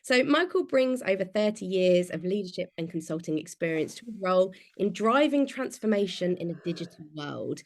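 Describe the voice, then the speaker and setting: A woman hosting a webinar, introducing the guest speaker enthusiastically with small restarts. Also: mid-range voice. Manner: warm, enthusiastic, slightly halting. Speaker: webinar host. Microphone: video call.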